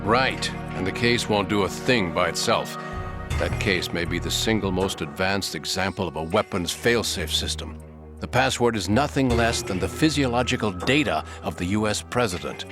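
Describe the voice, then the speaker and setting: An actor playing a self-assured military insider in a video game, explaining things in an assertive way. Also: male voice, sits low, lively intonation, moderate pace, music in the background. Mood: confident, explanatory. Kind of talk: video game cutscene acting.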